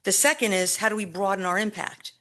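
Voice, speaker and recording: A woman giving a loud, emphatic staff presentation at a public board meeting, speaking into a podium microphone with deliberate pauses. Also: mid-range voice. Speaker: city recreation staff presenter.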